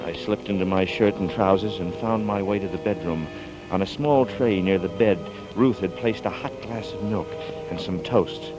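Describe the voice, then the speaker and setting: Actor doing a worn-out confused guy in an old radio show, real deep voice, stumbles over words, music under it. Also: close to an everyday voice. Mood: reflective. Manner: hesitant, halting, bewildered. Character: weary, confused man on the run, Danny. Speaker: actor in a radio drama, also narrating. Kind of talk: 1940s radio acting with first-person narration. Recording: dull old radio recording with music behind.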